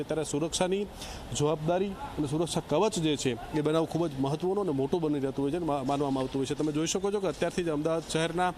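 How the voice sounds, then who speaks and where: A man's voice: a little high, a little mellow. A man giving an improvised, wordy live report as a field correspondent outdoors. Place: outdoors at an event ground. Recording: faint outdoor noise, vehicles.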